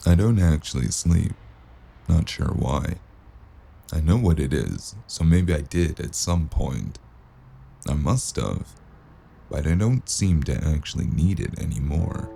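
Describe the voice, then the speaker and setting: A man's voice: very deep voice, animated, vocal fry, husky, full character voice. A man voicing a weary, brooding male noir detective narrating in first person. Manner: slow, brooding, hushed.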